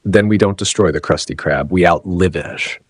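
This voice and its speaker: World-weary cartoon squid , male reader: superior and dry, low husky voice. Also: normal speed, no echo.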